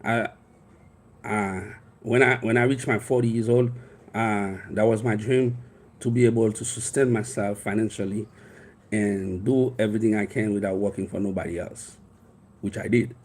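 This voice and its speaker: Man, 50s, Haitian accent, native Creole speaker, fairly low voice, life coach monologue, earnest, rambling. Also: fairly even intonation, normal speed, darkish tone. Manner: rambling, earnest, frequent restarts and fillers. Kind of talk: personal storytelling monologue.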